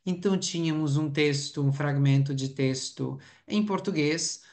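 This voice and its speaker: A man's voice: on the high side, measured pace, darkish tone. A man speaks as an academic researcher at a conference. His delivery is formal and explanatory. He hesitates often as he sets out each point. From Uruguay.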